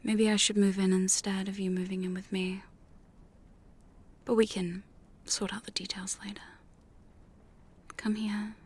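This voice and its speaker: Woman acting a slow, whispering, domineering vampire huntress. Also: medium pitch, little pitch movement, a little mellow. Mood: bossy, condescending. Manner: slow, breathy, seductive, commanding. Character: domineering, teasing female vampire hunter with hypnotic powers. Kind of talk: ASMR roleplay, mostly whispered. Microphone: close studio condenser microphone.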